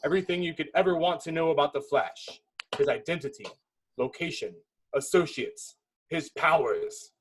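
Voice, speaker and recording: A man's voice: fairly high pitch, moderate pace, slight background noise, low-quality recording. A man giving dramatic scripted narration, reading a film script's stage directions.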